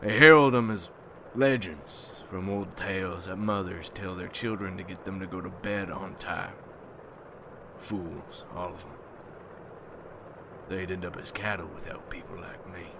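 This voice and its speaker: A man acts out a gruff, brooding leader of a vampire hunters' guild in an ASMR roleplay. His voice is low and he takes his time, rough-spoken and folksy, wary and ruthless. Noticeable background ambience runs behind him. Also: steady tone, measured pace, background noise. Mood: scornful.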